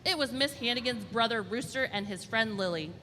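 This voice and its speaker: A woman storyteller reading a children's storybook aloud in an expressive, deliberate way. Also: fairly high pitch. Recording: reverberant hall, faint background noise.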